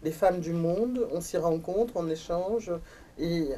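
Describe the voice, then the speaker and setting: A woman's voice: lowish voice, slow pace, dark tone, recorded from a distance, a little hiss or hum. A woman interviewing for a women's magazine, asking earnest questions while she searches for her words.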